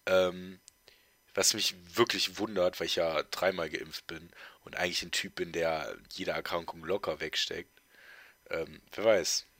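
A man's voice: deep voice, fairly even intonation, a little slow, fairly bright tone, dry recording. A teenage boy co-hosting a casual podcast chat, congested with a heavy cold, rambling with lots of fillers and restarts. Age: teens. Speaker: podcast co-host.

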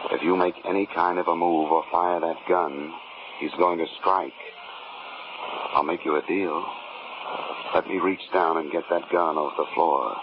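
An actor playing a dry, cool-headed insurance investigator in a 1950s radio detective drama, with an American accent and wry manner. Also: male voice, deep voice, noisy background. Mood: cool, tense. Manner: wry, deadpan. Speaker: lead actor and narrator. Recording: lo-fi 1950s radio recording, organ-era music.

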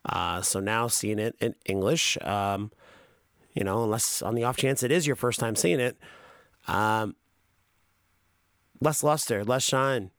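A man's voice: fairly low pitch, unhurried, long pauses, fairly bright tone, no room sound, clean studio sound. A man talking casually on a podcast, rambling and cursing, with many fillers and restarts. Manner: rambling, profane, frequent fillers. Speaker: podcast co-host.

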